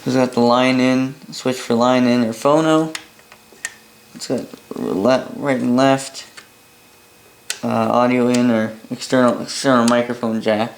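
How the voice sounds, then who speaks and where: A Canadian man casually demonstrating gear in an unscripted, halting way, thinking aloud as he goes. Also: medium pitch, steady tone, vocal fry. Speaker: hobbyist presenter demonstrating a vintage boombox. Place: indoor room at a workbench by a window. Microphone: camera mic a short distance away. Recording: roomy, with noise and clicks.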